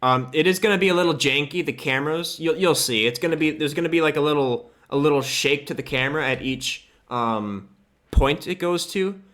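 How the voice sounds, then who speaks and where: A man giving a casual, offhand software tutorial, thinking aloud as he works. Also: on the high side, brisk. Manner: informal, loose and offhand, occasional swearing. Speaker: host of a game modding tutorial. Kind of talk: casual screen-capture tutorial, thinking aloud while working.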